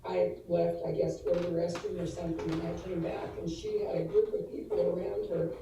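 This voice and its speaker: A woman, slightly hoarse from allergies, giving an earnest tribute at a virtual school board meeting, with frequent fillers and restarts. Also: on the low side, steady tone, a little fast, background noise. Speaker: adult education administrator presenting to school board. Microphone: video call. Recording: echoey room, distant from the mic, faint ambience.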